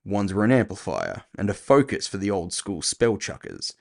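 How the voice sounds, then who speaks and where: A man's voice: on the low side, wildly swooping pitch, normal speed, vocal fry. A man reading scripted first-person narration for an audio fiction story.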